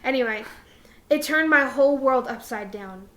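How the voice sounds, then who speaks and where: A young woman with a high, bright voice co-hosts a casual podcast. She is irreverent and giggly, slipping between reading aloud and offhand joking remarks. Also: moderate pace. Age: young adult. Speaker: podcast co-host.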